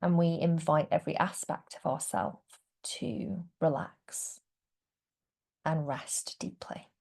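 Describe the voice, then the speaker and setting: A woman guiding a relaxation meditation as a yoga nidra teacher, speaking gently and without hurry, with phrases that trail into a hush. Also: low-pitched, creaky. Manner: gentle, unhurried, hushed phrase endings. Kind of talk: guided meditation.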